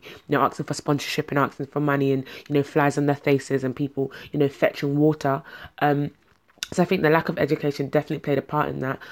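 Low-voiced young London woman, chatting casually. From London, England. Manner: conversational, quoting dialogue in anecdotes. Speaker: speaker sharing a personal story. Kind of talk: casual personal storytelling to viewers.

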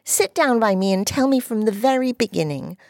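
A woman voicing an earnest, jealous little girl in an audiobook, high and eager. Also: expressive, fairly quick, vocal fry, a little mellow, no echo, clean studio sound. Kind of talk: audiobook character voice.

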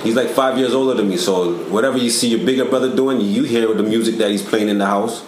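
A man's voice: lowish voice, fast talker. Laid-back man in a roomy space. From Toronto, Canada. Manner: laid-back, slangy, many fillers. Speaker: guest rapper. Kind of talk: casual interview chat. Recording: roomy with faint background noise.

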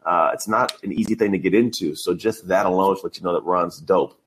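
A man's voice: sits low, brisk, slight background noise. A young adult man from the Bay Area hosting a podcast, enthusiastic and slangy with lots of fillers. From Bay Area, USA. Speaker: podcast host. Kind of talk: casual interview chat.

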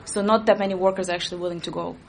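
A woman's voice: fairly low pitch, fairly even intonation, creaky, slight background noise. A young woman, a native Russian speaker who grew up in Latvia, answering interview questions as an expert, thinking aloud with fillers and restarts. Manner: quick, analytical, with frequent fillers and restarts.

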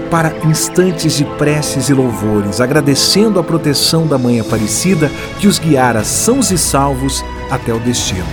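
Brazilian man narrating solemnly over clear music. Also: on the high side. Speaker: radio drama narrator. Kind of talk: solemn devotional scripted narration.

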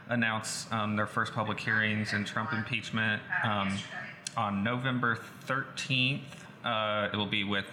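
A man co-hosting a podcast, giving a casual news rundown with a hesitant, halting delivery. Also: on the low side, sharp tone. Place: indoor studio under renovation. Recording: echoey room, faint background noise.

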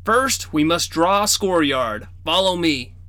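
Storybook role: a man doing a scolding old wizard grandfather, bright and crisp. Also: fairly high pitch, lively intonation, measured pace, no echo, voice pushed up. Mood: explaining. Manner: scolding, then teasing. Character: stern but fond old grandfather wizard.

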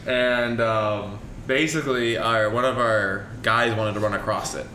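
A man tells a rambling story to a group, filling his pauses with ums. His voice sits low yet sounds bright and forceful. The room is echoey, with faint noise behind him. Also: fairly even intonation. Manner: rambling, filler-heavy with frequent ums. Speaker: coach telling a story. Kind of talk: casual storytelling.

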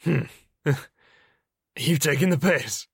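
A man's voice: a little high, slow pace, creaky, husky, no room sound, clean studio sound, full character voice. A man playing a crude, sneering, cowardly British hotel guest in an audio drama, with a British accent. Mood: incredulous.

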